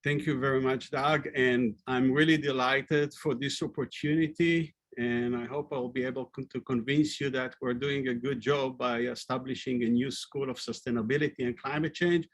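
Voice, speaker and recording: A man with an Israeli accent presents to an online audience over a video call. He speaks earnestly at a middle pitch and often pauses with fillers as he looks for words. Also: steady tone. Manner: earnest, hesitant with frequent fillers. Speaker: panelist presenting research. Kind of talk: webinar presentation.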